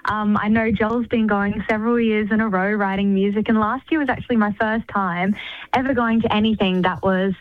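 A woman's voice: medium pitch, fairly even intonation, brisk, dry recording. A woman in her early twenties with an Australian accent, a singer-songwriter chatting casually in a radio interview over the phone. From Adelaide, Australia. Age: early 20s. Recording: tinny phone line to radio.

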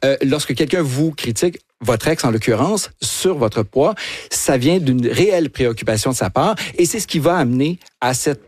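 A man with a Quebec French accent, giving an unscripted critique on a panel show and often repeating his words haltingly. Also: mid-range voice, animated, darkish tone, dry recording, studio quality. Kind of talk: unscripted review and debate.

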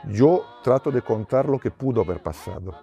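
A man's voice: mid-range voice, animated, normal speed, dark tone, soft background music. A documentary filmmaker whose first language is Portuguese, speaking thoughtfully in an interview and often ending his points with a question. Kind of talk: reflective interview answers.

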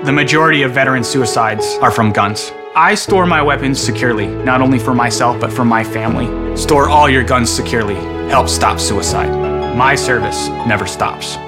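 A man, an Air Force veteran, reading a personal public service announcement in an earnest, measured way. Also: medium pitch. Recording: with loud music behind.

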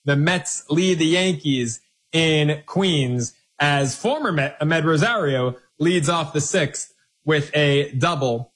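A man hosting a live sports betting show, bantering briskly with a guest and running through lines and numbers. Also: a little high, a bit bright. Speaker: sports betting radio host.

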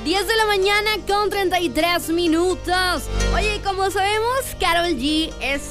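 Radio DJ, Mexican woman, high voice, rambling casual patter, faint music under her. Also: a little slow. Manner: rambling, chatty, lots of fillers.

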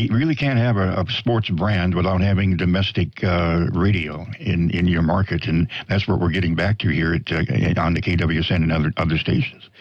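A man with an American accent hosting a casual radio interview in a halting, rambling, folksy way, on dull audio. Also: deep voice, no room sound. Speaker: radio host.

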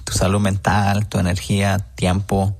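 Gravelly low-voiced caller. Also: male voice, completely flat delivery, measured pace. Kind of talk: recorded voice message.

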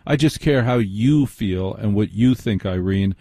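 An American man hosting a live call-in radio show, blunt and sardonic, quick to cut in. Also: lowish voice, mellow, no echo, clean studio sound.

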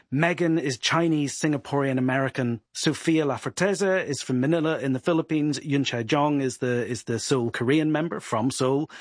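Man, husky voice, dry close sound, podcast guest, steady explainer. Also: mid-range voice, no room sound. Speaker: music reporter guest.

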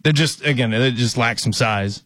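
A man co-hosting a casual sports talk show, reading viewer comments aloud in a relaxed, easygoing way. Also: medium pitch, normal speed, no echo, studio quality. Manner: relaxed, easygoing, reads chat questions aloud.

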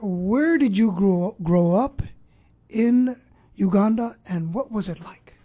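A woman hosting an interview who asks gentle, probing questions. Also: medium pitch, expressive, takes it slowly. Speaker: host.

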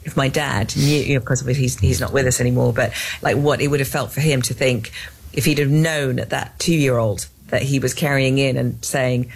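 A woman's voice: very deep voice, steady tone, normal speed, vocal fry, slightly rough. An English woman in her fifties, a scientist guest in an interview, talking earnestly with many restarts and fillers. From England. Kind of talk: interview conversation.

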